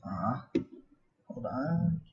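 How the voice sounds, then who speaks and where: A man's voice: mid-range voice, sing-song, drawn-out words. A young man rambling casually and self-deprecatingly on a livestream while he draws. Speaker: art livestreamer. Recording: muffled home mic with background noise. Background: background noise, laptop cooling fan.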